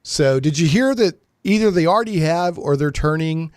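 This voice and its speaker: A man's voice: fairly high pitch, expressive, moderate pace, a little mellow, no echo, clean studio sound. A man hosting a radio show, chatting casually in a jokey, rambling way with frequent digressions. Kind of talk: casual, rambling interview chat.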